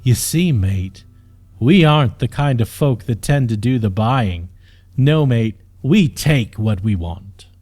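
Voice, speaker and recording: A man voicing a hot-tempered bald bandit captain in an audiobook, sneering and exasperated with drawn-out emphasis. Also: medium pitch, expressive, darkish tone, faint music behind, voice pushed down. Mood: menacing.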